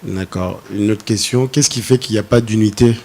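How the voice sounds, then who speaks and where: A man on a pageant jury with a fairly low voice. He questions contestants in a measured, probing way and likes to lecture. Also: a little mellow. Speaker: jury member. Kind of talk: interview questioning.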